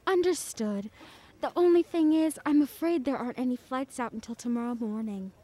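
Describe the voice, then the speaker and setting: An actress playing a courteous, sympathetic airline desk agent with polite, formal service patter in a radio drama. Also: female voice, sits high, moderate pace, dark tone, dry recording. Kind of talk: comic radio drama acting.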